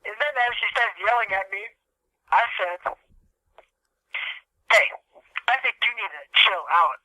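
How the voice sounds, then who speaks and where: A man's voice: high-pitched, a little slow, pushed and bright, no room sound, poor audio. A young man co-hosting a podcast, ranting casually and comically in an exasperated, hyperbolic, profane way. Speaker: podcast co-host.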